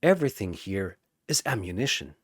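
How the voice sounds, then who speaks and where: A man's voice: sits low, sing-song, moderate pace, breathy edge, dry recording, clean studio sound, pitched below the performer's own voice, heavily acted. A man voicing a scrappy, wary young underdog fighter with a terse, muttering delivery in a story. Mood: gritty resolve.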